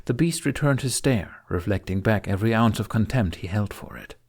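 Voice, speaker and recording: A man's voice: lowish voice, animated, a little fast, darkish tone. A man reading scripted fantasy fiction as a dramatic audiobook narrator.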